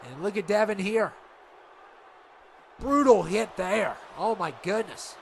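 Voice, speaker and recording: A man with a stuffed-up nose doing rambling, excitable play-by-play for a video game wrestling show. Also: high-pitched, takes it slowly, vocal fry, slight background noise. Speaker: commentator.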